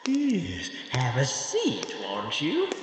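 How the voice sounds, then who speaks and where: Creepy morgue attendant, horror radio play host, drenched in cavernous echo, very slow, halting, theatrical. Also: male voice, medium pitch, huge pitch swings, full character voice. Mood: eerie welcoming. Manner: halting, theatrical, swooping delivery. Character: creepy, hospitable male morgue attendant and storyteller. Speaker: host storyteller of horror anthology. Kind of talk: theatrical horror audio drama narration. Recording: cavernous reverberant echo, faint noise.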